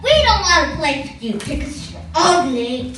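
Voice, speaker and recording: A woman's voice: high-pitched, expressive, moderate pace, pitched above the performer's own voice. A woman voicing mocking young duckling siblings in a children's puppet show, with sing-song teasing. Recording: echoey room, distant mic, music.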